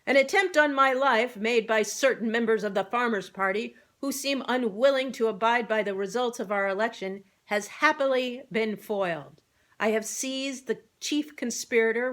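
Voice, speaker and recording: A woman's voice: a little high, fairly bright tone, lightly acted. A woman voicing a pompous clockwork orator in a children's story, bellowing formal, grandiose speeches. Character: pompous clockwork-man orator run by a scheming rooster.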